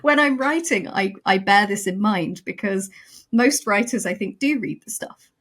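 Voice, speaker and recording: A woman writer chatting on a podcast with a mid-pitched voice. She talks in a lively, self-mocking way and laughs easily at herself. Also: moderate pace. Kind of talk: casual podcast chat.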